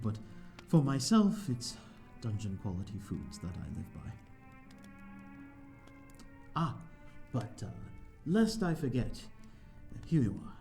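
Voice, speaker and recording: A man's voice: mid-range voice, mellow. A man playing a courteous, lonely vampire of an old manor in an ASMR audio roleplay, speaking in hesitant, formal, old-fashioned phrases. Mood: gracious, self-deprecating. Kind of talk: slow ASMR audio roleplay, addressing the listener.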